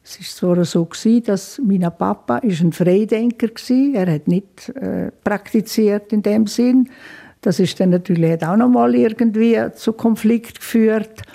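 Older Swiss woman, mellow reflective voice. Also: medium pitch, unhurried, gravelly. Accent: Swiss German. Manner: thoughtful, frequent fillers, laughs softly at times. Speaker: interviewee. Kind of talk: reflective life-story interview.

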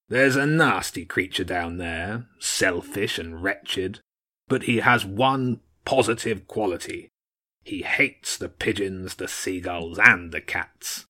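A man's voice: on the low side, pushed and bright, heavily acted. A man voicing a stern, mocking old badger king in an audiobook story, with a blunt, commanding manner. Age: older. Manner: blunt, scornful, commanding, short barked phrases.

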